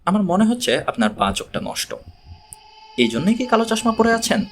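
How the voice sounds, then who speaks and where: A man's voice: sits high, sing-song, brisk, faint music behind, voice pushed up. A man voicing a wry, unflappable male investigator in an audiobook, dry and plain-spoken. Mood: wry, calm.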